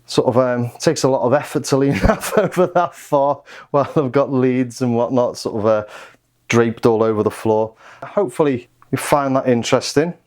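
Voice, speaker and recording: A man's voice: medium pitch, normal speed, a little mellow. A man giving a casual hands-on music gear demo, thinking aloud in an offhand way. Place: home music studio.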